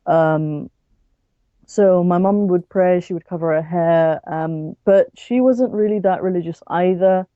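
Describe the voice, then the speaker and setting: A woman from the UK with a British accent, talking casually and haltingly as a podcast guest, peppering her sentences with "like". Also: lowish voice, no echo, phone-quality sound. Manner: halting, frequent "like". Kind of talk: casual interview storytelling.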